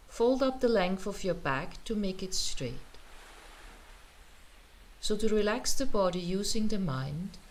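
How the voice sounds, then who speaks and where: A woman teaching meditation, guiding a relaxation in a gentle, soothing manner with pauses. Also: mid-range voice. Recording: noticeable ambient background noise.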